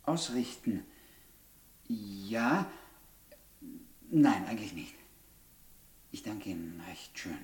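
Halting, polite young suitor, roomy sound. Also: male voice, on the low side, big pitch swings. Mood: anxious, polite. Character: hesitant, lovesick young suitor, polite drifter heading for the provinces. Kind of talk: 1960s German radio play acting. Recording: roomy 1960s radio studio recording.

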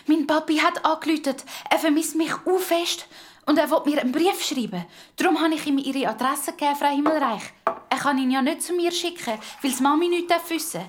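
A woman's voice: sits high, brisk, voice pushed up, close to an everyday voice. A woman voicing an earnest, defensive young girl with a Swiss German accent in a children's audio story. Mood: excited, confiding.

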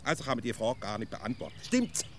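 Sceptical Swiss rustic, animated man. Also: medium pitch, a little hiss or hum. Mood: dogged reasoning. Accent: Swiss German. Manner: halting repetitions, dogged contradicting. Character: sceptical, literal-minded provincial Swiss male friend, cunning fool. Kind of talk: comic radio dialogue.

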